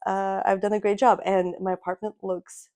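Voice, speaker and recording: A woman in her thirties, a native Russian speaker, co-hosting a casual podcast from her apartment couch, animated and quick to laugh. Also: medium pitch. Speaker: podcast co-host. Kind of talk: casual chat. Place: living room couch in an apartment.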